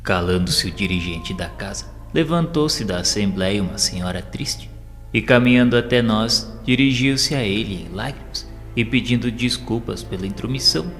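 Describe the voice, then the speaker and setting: A man with a fairly low voice narrates an audio novel. His delivery is solemn, formal and measured, set in a long reverb over faint music. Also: lively intonation, fairly bright tone. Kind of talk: scripted dramatic narration of a spiritual story.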